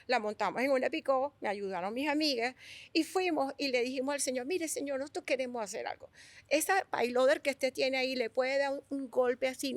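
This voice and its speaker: A middle-aged Venezuelan woman with a Venezuelan accent, a sculptor telling stories in an interview, animated and restarting her words often. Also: high-pitched, dry recording. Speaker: guest sculptor. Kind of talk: conversational storytelling.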